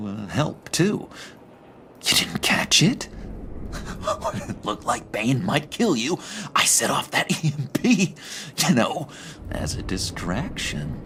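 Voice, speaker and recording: A man playing a needy, unhinged misfit in a video game, gushing and halting as he pleads for friendship. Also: fairly high pitch, creaky, a little hiss or hum, full character voice. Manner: gushing, halting, giggly, swinging between chirpy and hushed.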